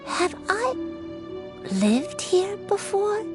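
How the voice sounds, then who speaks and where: A woman playing a gentle, forgetful elderly woman in a video game, frail and weary, with polite, halting speech. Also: very high-pitched, sing-song, full character voice. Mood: wistful, puzzled. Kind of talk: dramatic video game voice acting. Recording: music behind.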